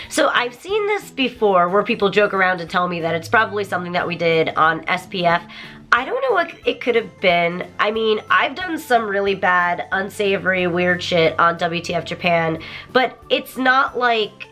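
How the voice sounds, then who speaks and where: A woman in her thirties with a croaky voice from a sore throat, chatting casually and animatedly to camera as a YouTuber in a home studio. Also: mid-range voice, sharp tone. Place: bedroom studio with toy-filled shelves.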